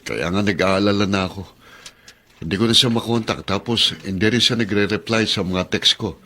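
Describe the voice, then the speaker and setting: Bright, tense Filipino man, protective brother. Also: on the low side, normal speed. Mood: worried. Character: worried, protective brother defending his sister, exasperated. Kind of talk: Filipino radio drama acting.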